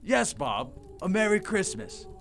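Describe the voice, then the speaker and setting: A man playing a reformed old miser in an audio drama, giddy, warm and exclamatory, often repeating himself. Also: high-pitched, very animated, a little slow, creaky, full character voice. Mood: generous. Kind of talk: theatrical audio drama acting. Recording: music playing behind the voice.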